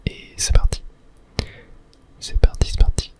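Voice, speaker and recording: A person whispering a casual ASMR commentary while playing a video game, thinking aloud in a tentative way. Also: measured pace. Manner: hushed, unvoiced whispering. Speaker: gaming video host.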